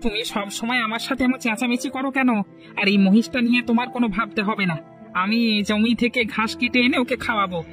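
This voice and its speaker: A man's voice: extremely high pitch, steady tone, fast, fairly bright tone, soft background music. A man voicing a kind, stubborn poor village husband in a cartoon, earnest and protesting. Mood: indignant. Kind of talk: Bengali cartoon story dialogue.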